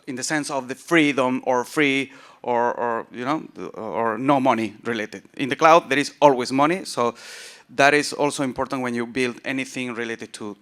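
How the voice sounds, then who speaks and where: A man from southern Spain with a southern Spanish accent gives an informal technical talk as a conference speaker, in a halting way with repeated words. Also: mid-range voice, a bit bright. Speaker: conference speaker, software founder.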